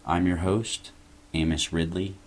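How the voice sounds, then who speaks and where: A man's voice: sits low, steady tone, a little slow. A man hosting a show, preaching an earnest evangelistic message and urging listeners to turn to God. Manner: earnest, exhorting, rising on key phrases. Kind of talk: evangelistic sermon.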